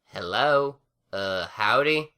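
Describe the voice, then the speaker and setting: A man voicing a blunt, hot-tempered young farm mare, talking loud and brash. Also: lowish voice, animated, slow pace, clear, resonant tone, voice pushed up, exaggerated voice.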